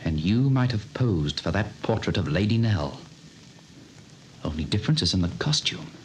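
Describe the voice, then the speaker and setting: An actor playing a breezy young newlywed aristocrat who dismisses talk of a curse, in old-time radio drama style. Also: male voice, on the low side, animated, close to an everyday voice, rough recording. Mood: scoffing. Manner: dismissive, brisk upper-class tone. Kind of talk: 1930s radio acting. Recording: old radio broadcast with faint hiss.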